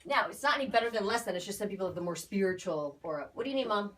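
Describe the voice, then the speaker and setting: A woman's voice: mid-range voice, animated, racing, crowd noise. A woman giving a conversational spiritual reading as an aura reader, talking in a chatty, emphatic, assured way.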